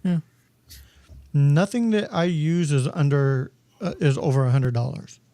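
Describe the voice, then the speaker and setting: Man, mellow tone, fairly high voice, dry close sound, laid-back podcast co-host. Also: animated, unhurried, dry recording. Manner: laid-back, advises on budget gear. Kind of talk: casual chat about microphones.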